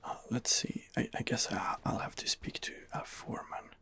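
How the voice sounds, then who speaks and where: A man softly narrating an ASMR game playthrough in character, hesitant and musing to himself in a hushed voice. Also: moderate pace. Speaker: host of a roleplay gameplay series.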